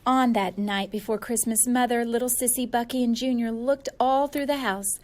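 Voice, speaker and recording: A woman reading a children's story aloud in a playful, expressive way, leaning on words for emphasis. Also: on the high side. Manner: animated, playful, drawn-out emphasis. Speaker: storybook reader.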